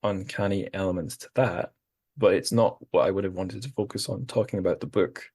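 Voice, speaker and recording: A man hosting a podcast, talking in a relaxed way and thinking aloud with fillers. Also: low-pitched, a little fast, slightly rough. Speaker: podcast host. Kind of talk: casual conversation.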